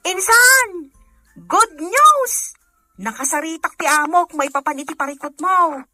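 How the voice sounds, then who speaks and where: A woman playing an excited, helpful young cousin in an audio drama, loud and exclamatory. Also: very high voice, a little slow, dry recording, soft background music, exaggerated voice. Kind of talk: amateur Filipino audio drama acting.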